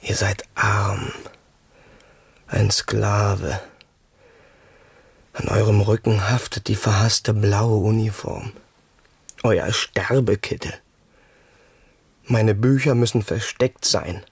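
An actor playing a proud, defiant young Prussian crown prince in a German radio drama, dreamy and declamatory. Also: male voice, fairly low pitch, steady tone, takes it slowly, vocal fry, pauses often, slightly rough, full character voice. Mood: bitter, melancholy. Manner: theatrical, declamatory.

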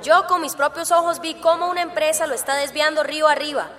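Determined village activist lady, pretty high voice, earnest and fired up, radio drama. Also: steady tone, fast, a bit bright, a faint crowd. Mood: earnest, resolute. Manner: earnest, resolute. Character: determined, indignant village woman activist rallying neighbours.

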